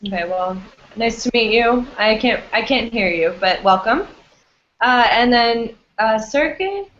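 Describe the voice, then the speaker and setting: A woman runs an English lesson online, speaking in a friendly way with lots of ums and you-knows. Her voice sits a little high. The sound is roomy and dull, like a lo-fi call. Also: animated, measured pace, fairly bright tone, slight background noise. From United States. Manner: friendly, many fillers like um and you know. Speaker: English teacher. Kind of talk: online class teaching. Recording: echoey, dull lo-fi audio.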